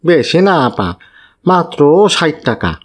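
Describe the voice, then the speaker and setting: A man's voice: fairly high pitch, very animated, measured pace, a bit bright, exaggerated voice. A man voicing a village bangle seller in a cartoon, coaxing customers like a street hawker. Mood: haggling. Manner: calling out, coaxing. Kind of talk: cartoon voice acting.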